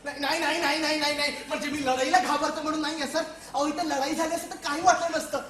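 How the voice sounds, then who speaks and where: A woman playing a lonely male soldier in a comic stage play, excitable and rambling, stammering and repeating words. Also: high-pitched, rapid-fire. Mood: pleading, nervous. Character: jittery, lonely, talkative male soldier at a forgotten post. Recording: echoey stage recording with music.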